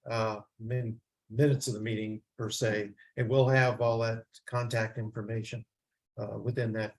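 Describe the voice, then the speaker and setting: A man moderating a community meeting, halting and restarting as he explains. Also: mid-range voice, gravelly, husky, low-quality recording.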